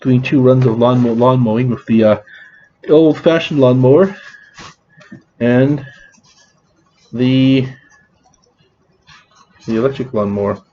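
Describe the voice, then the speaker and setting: A man's voice: mid-range voice, slow, long pauses, muffled recording. A man with a scratchy voice, rambling through a casual vlog with many restarts and fillers. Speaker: vlogger.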